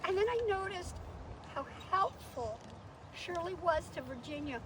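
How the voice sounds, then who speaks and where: A woman with a high voice shares memories in a casual, conversational way. She is outdoors, and there is clear noise around her. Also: lively intonation, unhurried. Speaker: guest sharing a tribute. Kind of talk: casual reminiscing. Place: outdoors in an iris garden. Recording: noisy outdoor recording without microphone.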